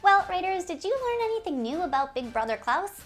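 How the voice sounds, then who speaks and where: A woman hosting a game community video series, speaking to viewers in an animated, giggly, presenter's way. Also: extremely high pitch, a little fast, faint music behind. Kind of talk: upbeat scripted video presenting with ad-libbed asides.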